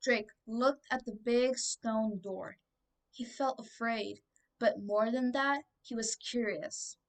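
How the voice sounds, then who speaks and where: A woman's voice: fairly high pitch, slow pace, distant mic. A twelve-year-old girl reading a children's storybook aloud as scripted narration. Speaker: book reader.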